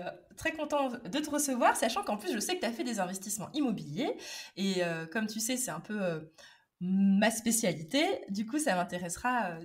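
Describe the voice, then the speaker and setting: A woman hosting a podcast interview, reading a scripted intro then chatting in a friendly way with fillers. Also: mid-range voice. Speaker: podcast host.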